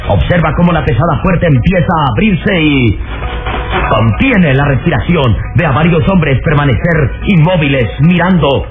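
An actor narrating an adventure radio serial in a dramatic, emphatic and suspenseful style. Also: male voice, fairly high pitch, lively intonation, normal speed, natural voice. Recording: muffled old radio recording, music behind.

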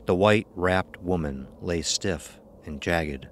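Very deep, slow-paced male narrator. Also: slight background noise. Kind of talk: scripted horror story narration.

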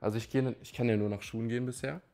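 A man of twenty-one talking casually on a dating show, with relaxed, hesitant short answers. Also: medium pitch, rapid-fire, soft background music. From Germany. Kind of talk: casual chat.

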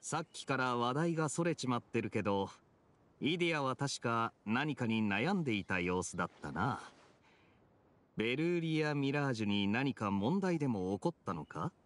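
A man voicing a suave, composed young captain in an anime game, smooth and teasing. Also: mid-range voice, lively intonation, moderate pace, a bit bright, a little hiss or hum, natural voice. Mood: cool, attentive. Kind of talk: Japanese anime game voice acting.

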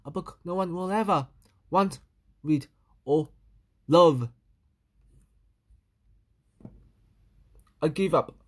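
A woman's voice: deep voice, unhurried, husky. A woman voicing a fussy, dramatic little boy from a storybook, exclamatory and easily exasperated. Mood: dejected. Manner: exclamatory, indignant.

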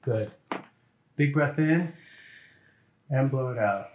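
A man's voice: medium pitch, expressive, slow, darkish tone. Man giving instructions over noisy background. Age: middle-aged. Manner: short plain directives. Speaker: chiropractor. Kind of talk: casual hands-on instructions to a patient. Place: small indoor treatment office. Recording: clearly noisy room with clicks.

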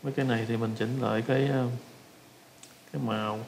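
A man with a Southern Vietnamese accent casually talking through a software tutorial step by step, halting and repeating himself as he improvises. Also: mid-range voice, fairly even intonation, creaky, a little hiss or hum. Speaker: tutorial instructor.

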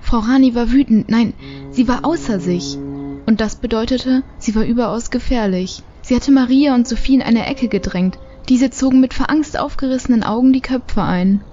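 A woman's voice: on the high side, moderate pace, dark tone, dull audio, slight background noise. A woman narrating a scripted audio drama as its storyteller.

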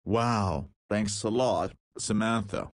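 Actor as low-voiced, cheeky young man, dry sound. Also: slow pace, dry recording. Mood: curious, casual. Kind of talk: visual novel voice acting with inner monologue.

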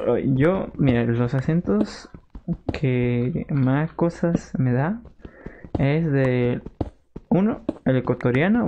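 A man's voice: mid-range voice, dark tone. A young man with a Peruvian Spanish accent, chatting casually with viewers as he plays on a live stream. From Peru. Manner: chatty, reads and answers viewer messages, jokey outbursts. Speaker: gaming streamer. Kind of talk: casual live-stream chat while playing. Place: home room. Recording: slightly dull lo-fi sound with faint noise.

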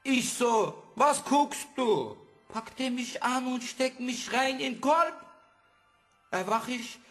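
Brash high-pitched streetwise lad. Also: male voice, lively intonation, slow, fairly bright tone, faint music behind, full character voice. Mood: brash, cocky. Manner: slangy, blunt, punchy phrasing. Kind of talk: German audio drama acting.

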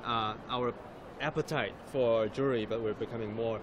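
Male reporter live amid clear hall noise. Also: medium pitch, fairly even intonation, moderate pace. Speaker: live stream reporter and host. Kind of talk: casual live presenting and chat. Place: large indoor exhibition hall. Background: busy trade fair ambience.

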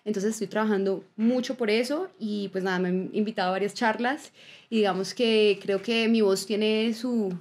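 A woman, an actress speaking as a podcast guest in a studio on a boom-arm microphone, talking earnestly with many fillers. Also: fairly even intonation, moderate pace, darkish tone. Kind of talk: casual podcast chat. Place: indoor studio with blue backdrop. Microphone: studio mic on boom arm.

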